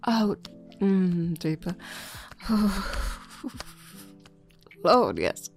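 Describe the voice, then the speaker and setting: Woman acting out a playful seductive server, breathy whisper, drawn-out slow delivery, gravelly fry. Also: medium pitch, sing-song, darkish tone, soft background music, exaggerated voice. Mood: breathless. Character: flirty, teasing adult woman server. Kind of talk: intimate audio roleplay.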